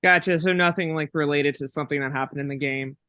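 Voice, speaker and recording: Bright, crisp sports reporter. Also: mid-range voice, steady tone, fast talker. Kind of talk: casual press conference questioning.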